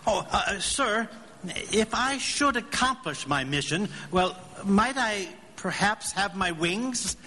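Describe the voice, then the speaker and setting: An actor playing a timid, eager old apprentice angel, hesitant and deferential, in a live radio drama. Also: male voice, high voice, slow pace, slight background noise, heavily acted. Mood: meek, hopeful. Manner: hesitant, deferential, easily excited. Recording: live stage with long reverb, music underneath.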